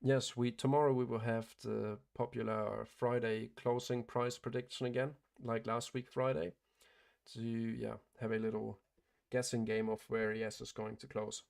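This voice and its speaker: A man talks viewers through his trades on a livestream in a flat, matter-of-fact way. His voice has a gravelly fry, and he is hoarse as it starts to give out. He keeps clearing his throat. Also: medium pitch, normal speed. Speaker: day trader hosting a livestream. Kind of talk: live trading commentary.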